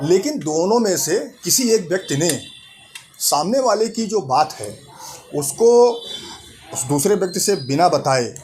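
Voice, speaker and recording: Higher-voiced man explaining. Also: darkish tone, slight background noise. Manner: deliberate, repeats and rephrases points. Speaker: host of a legal information channel. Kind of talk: explanatory legal talk to camera.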